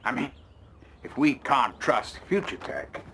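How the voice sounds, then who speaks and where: An actor playing a smug, evasive politician who talks down to people in a game cutscene. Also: male voice, mid-range voice, sing-song, unhurried, fairly bright tone, slight background noise. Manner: smooth, condescending, rhetorical. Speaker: president.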